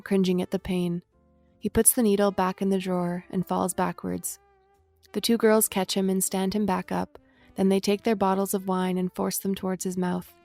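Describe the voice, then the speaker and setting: A woman's voice: medium pitch, a little mellow, no room sound. A woman reading scripted stage directions as the narrator of an audio drama.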